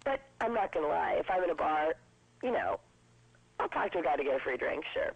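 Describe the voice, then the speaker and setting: A woman in her twenties with a low voice, chatting upbeat as a guest in an interview. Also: sing-song, slightly rough, dry recording. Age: 20s. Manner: chatty and upbeat, quick with jokes. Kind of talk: casual interview. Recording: dull lo-fi broadcast sound.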